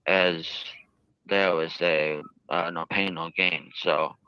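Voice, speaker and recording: Deep-voiced man, halting and muffled. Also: fairly even intonation, clean tone, dry recording. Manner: halting, drawn-out phrasing. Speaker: jail inmate interviewed by a reporter. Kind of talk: interview answers.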